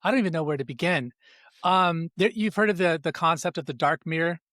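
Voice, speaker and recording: Higher-voiced male podcast host asking questions. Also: a little fast, a bit bright, no echo, studio quality. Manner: curious, rambling questions with many fillers. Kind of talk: casual podcast interview.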